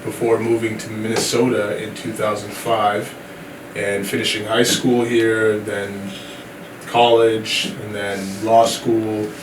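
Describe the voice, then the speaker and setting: A low-pitched man from Sierra Leone tells his story as a podcast guest, relaxed and easygoing. The sound is echoey and he is set back from the mic, with steady background noise. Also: takes it slowly, far from the mic. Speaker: guest, company CEO. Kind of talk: casual podcast interview.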